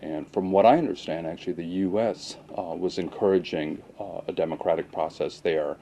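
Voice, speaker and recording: Male police lieutenant as interview guest: very low, soft-edged mellow voice, cautious delivery, faint noise behind. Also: a little fast. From Pakistan, moved to the US at age seven. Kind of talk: unscripted interview answers.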